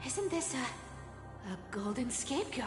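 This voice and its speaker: A woman's voice: high voice, sing-song, unhurried. A woman voicing a sly, cool young female treasure hunter in a video game, with a dry, wry manner. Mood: intrigued. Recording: roomy game audio, loud music.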